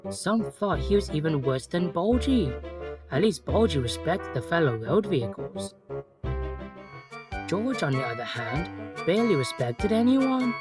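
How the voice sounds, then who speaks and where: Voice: medium pitch, sing-song, measured pace. A narrator reading a scripted children's story, with music playing behind.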